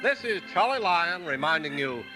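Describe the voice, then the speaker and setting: Male 1950s radio announcer, high, animated voice, over loud theme music. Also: moderate pace. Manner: booming, upbeat sell.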